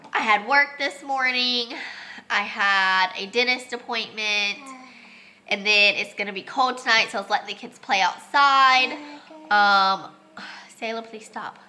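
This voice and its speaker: A woman's voice: a little high, a little slow, sharp tone, slight background noise. A young woman, congested and losing her voice, chatting casually with many ums as she vlogs a homeschool lesson. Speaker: homeschooling mom. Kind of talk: casual home vlog lesson. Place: family home with children.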